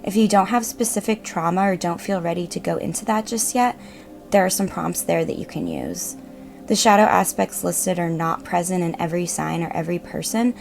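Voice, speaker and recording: Woman, meditation host, creaky vocal fry, reassuring measured delivery, music behind. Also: fairly low pitch, a little mellow. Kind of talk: guided meditation narration.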